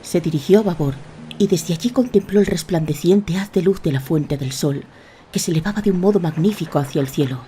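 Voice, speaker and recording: A woman's voice: low-pitched, mellow, slight background noise. A woman giving scripted, dramatic narration of a fantasy tale. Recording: voice over a prominent music bed.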